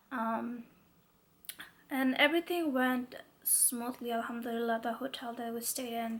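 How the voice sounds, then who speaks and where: A young woman vlogging casually to camera with a stuffy, congested voice, chatty and rambling with many fillers. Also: fairly high pitch. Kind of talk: casual talking-to-camera vlog.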